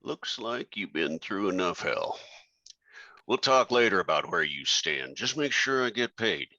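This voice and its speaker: An actor playing a menacing older crime boss and club-owning uncle in a scripted table-read drama, deliberate and threatening. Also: male voice, low-pitched, sing-song, sharp tone, no room sound, dull audio, exaggerated voice. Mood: stern, menacing.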